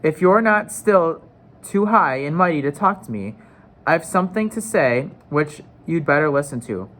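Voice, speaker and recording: A man's voice: fairly high pitch, animated, moderate pace, fairly bright tone. A man voicing a sullen, suspicious, argumentative schoolboy in a storybook, with pointed, challenging questions. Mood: sulky.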